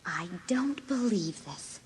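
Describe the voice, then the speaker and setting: A lively, swooping American high school girl in an audiobook drama. She is resentful and scheming, and there is faint noise behind her. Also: takes it slowly. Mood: indignant, muttering.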